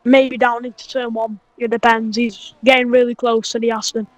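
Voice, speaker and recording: A man co-commentating a sim race, eager and chatty, rushing and restarting his words. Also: extremely high pitch, level tone, no echo.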